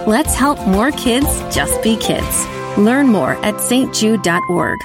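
A woman narrating a charity ad gently and sincerely. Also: medium pitch, expressive, slow, music in the background.

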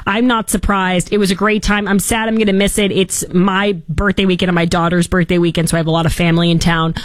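A woman's voice: fairly low pitch, little pitch movement, fast. A woman hosting a talk radio show, upbeat and promotional, interviewing a caller. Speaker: radio host.

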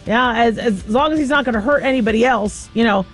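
A woman's voice: fairly high pitch, fast, soft background music. Rambling woman radio talk host. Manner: rambling, many restarts and fillers. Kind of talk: casual call-in radio talk.

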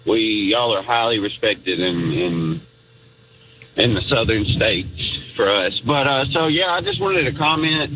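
Male caller, 30s, slow and halting, clear noise on the line. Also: mid-range voice, animated. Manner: halting, filler-heavy. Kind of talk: call-in comment.

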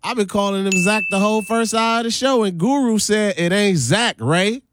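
A young man co-hosting a radio show, joking around in slangy, animated banter. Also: high voice, no room sound, clean studio sound. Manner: animated, laughing, slangy, quick to imitate others. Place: radio studio.

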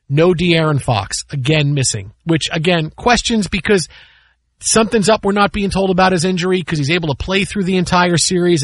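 A man's voice: on the high side, no room sound. A man hosting sports talk radio, giving casual, opinionated commentary in an emphatic way with repetition and rhetorical questions. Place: radio studio.

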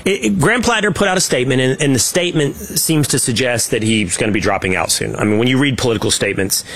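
A man's voice: medium pitch, fast, fairly bright tone. A man hosting a political talk show, giving opinionated, emphatic commentary with frequent restarts.

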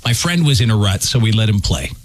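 Animated, joking male radio host. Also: on the low side, fast. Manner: joking, reads listener stories aloud, laughs often. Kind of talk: comedic radio banter.

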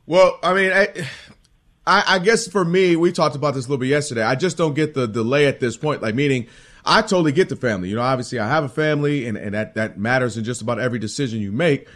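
A man's voice: medium pitch, expressive, fast, fairly bright tone. An American man co-hosting a casual sports talk radio show in an informal, conversational way.

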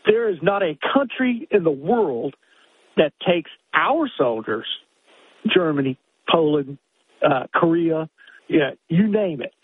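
A man's voice: fairly high pitch, expressive, slow pace, husky, no room sound, phone-quality sound. An American man in his sixties calling a radio show by phone, explaining his point insistently and off the cuff. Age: 60s. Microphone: telephone.

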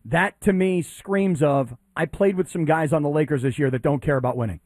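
A fairly high-pitched man co-hosting sports radio makes his points bluntly and with emphasis. His voice sounds dry and close to the mic. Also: fairly quick, darkish tone, no echo. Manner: blunt, emphatic, crude asides. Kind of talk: morning sports radio debate.

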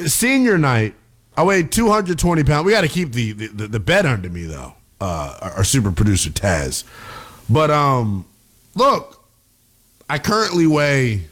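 Lively, joking sports radio man. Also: medium pitch, unhurried. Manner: jokey, rambling, boastful.

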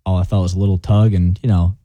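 A man's voice: deep voice, little pitch movement, quick pace, no room sound, lo-fi sound. A young man in his twenties, a college student and guest, chatting casually and rambling on with frequent laughs. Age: 20s. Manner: easygoing, rambling, laughs often, keeps saying "I'll tell you what". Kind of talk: casual on-air chat.

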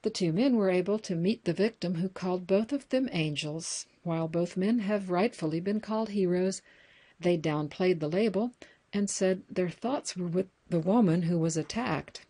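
An American woman reading news articles and book excerpts aloud as a reader, in a measured, plain announcer-like way. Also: on the low side, warm tone.

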